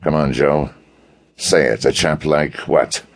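A man voicing a wealthy, lazily amused gentleman adventurer with a gravelly voice and a wry, bantering manner, in a pulp detective audiobook. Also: rumbling low, fairly even intonation, slow, full character voice. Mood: challenging.

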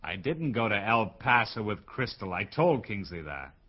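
An actor playing a surly, arrogant playboy in a radio drama, curt and dismissive. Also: male voice, fairly low pitch, very animated, normal speed, highs cut off. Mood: defensive.

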